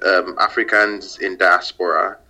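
A man's voice: sits low, level tone, a little slow, sharp tone. A man, a company founder, answers interview questions in a casual, hesitant way, full of fillers and repeated words. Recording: dull lo-fi audio.

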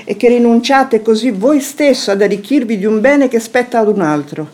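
An actress playing a poised, discreet noble wife in a radio drama, speaking in a measured, formal, coolly tactful way. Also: female voice, a little high, animated, a little mellow, lightly acted. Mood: firm, discreet. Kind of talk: theatrical radio drama acting.

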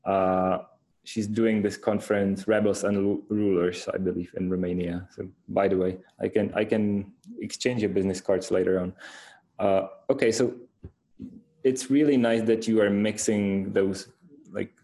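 A man hosting an interview, relaxed, with brief backchannel replies. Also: on the low side, moderate pace. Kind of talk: casual interview talk.

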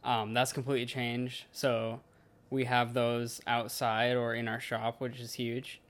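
Man, early twenties, American accent, medium pitch, casual conversational answers. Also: completely flat delivery, unhurried. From Washington state, United States. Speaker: interviewee, heavy equipment mechanic.

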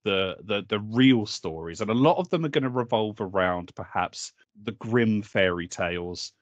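Deep-voiced man bantering on a podcast. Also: animated, normal speed, no room sound, lo-fi sound. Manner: sweary, joking, rambling. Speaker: podcast co-host. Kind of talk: casual comic banter.

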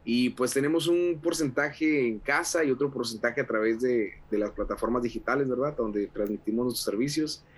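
Man, young adult, mid-pitched, fervent pastor, many fillers and restarts. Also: moderate pace, hardly any pauses, husky. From Mexico. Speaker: associate pastor. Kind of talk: radio interview guest.

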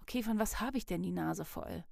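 A woman's voice: lowish voice, brisk, vocal fry, a little mellow, no room sound, studio quality. A woman giving a casual self-help talk, reflective and thinking aloud with fillers, often acting out little inner dialogues.

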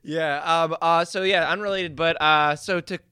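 A man's voice: fairly high pitch, steady tone, normal speed, pushed and bright, clear, resonant tone, no room sound, studio quality. A man co-hosting a comedy podcast, rambling casually and jokily. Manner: rambling, jokey. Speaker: podcast co-host. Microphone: close mic recorded separately from video call.

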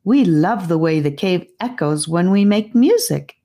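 A woman's voice: lowish voice, expressive, unhurried, mellow. Earnest guarded kid girl lady reading her, storybook style, voice goes up and down a lot. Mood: cheerful. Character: guarded young cave girl, suspicious, earnest sentry.

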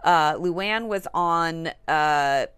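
A woman's voice: low-pitched, extremely slow, fairly bright tone, smooth, no echo. Chatty woman co-host, dry close sound. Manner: chatty, frank, swears casually. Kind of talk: casual gossip chat.

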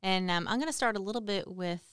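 A woman in her forties with a Boston accent, introducing herself as a podcast guest in a conversational, halting way, thinking aloud as she goes. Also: mid-range voice, fairly bright tone, smooth, no room sound, studio quality. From Boston, USA. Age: 40s. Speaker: podcast guest, military veteran and mother.

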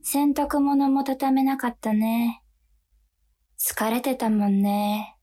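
A woman's voice: on the high side, flat delivery, slow pace, warm tone, soft background music. A woman playing a cute, seductive demon of May blues in a radio drama, sweetly and languidly coaxing someone to be lazy. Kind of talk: Japanese radio drama acting.

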